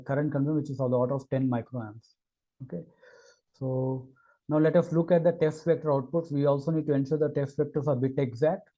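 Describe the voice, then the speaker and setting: A man narrating an unscripted technical demo as an engineer, with halting, hesitant phrasing and repeated words. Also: on the high side, dark tone, highs cut off. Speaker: engineer presenting a product demo.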